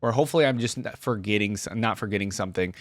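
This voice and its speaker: A man gives casual commentary as host of a gaming reaction video. His voice sits fairly low in a very dry recording. He talks conversationally, rambling a little and thinking out loud. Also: racing, no echo.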